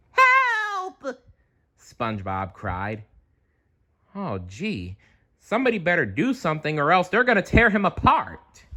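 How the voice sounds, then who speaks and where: A man reading a children's storybook aloud, expressive and chatty, with casual asides. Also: medium pitch. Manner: animated, chatty.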